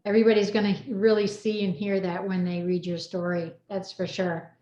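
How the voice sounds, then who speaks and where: A woman's voice: mid-range voice, little pitch movement, normal speed, warm tone. A woman hosting a casual group chat, encouraging and affirming as she moves between guests. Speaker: host moderating a group chat. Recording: echoey room, muffled dull sound.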